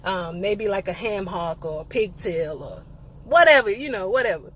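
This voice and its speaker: Animated young woman telling a story. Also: medium pitch, moderate pace, a little hiss or hum, rough recording. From eastern North Carolina. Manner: animated, playful storyteller with sassy asides. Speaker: vlogger. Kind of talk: casual storytime vlog.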